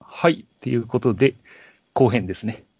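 A man's voice: mid-range voice, normal speed, a little mellow. A man hosting a podcast, chatting about films in a polite, conversational way. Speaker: podcast host. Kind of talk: casual film chat.

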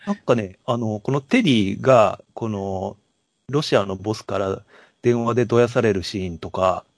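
A man co-hosting a podcast, talking casually about films in a halting, storytelling way. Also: on the low side, lively intonation, no room sound. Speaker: podcast co-host. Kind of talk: casual film discussion.

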